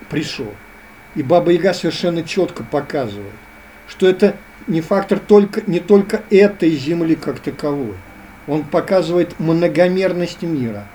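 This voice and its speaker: Man, earnest lecturing guest, warm relaxed tone, slight background hiss. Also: a little high. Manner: earnest, lecturing, repetitive phrasing. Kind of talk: philosophical interview talk.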